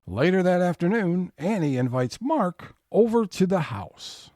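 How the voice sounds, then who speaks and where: A man's voice: high voice, sing-song, measured pace, a little mellow. A man reading scripted scene-setting narration as the narrator of an audio drama.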